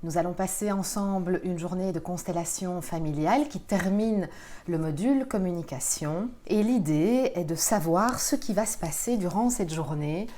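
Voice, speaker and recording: A woman's voice: on the low side, normal speed, mellow, slight background noise. A woman hosting an interview, asking polite questions and encouraging her guest with frequent affirming murmurs. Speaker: interviewer, host.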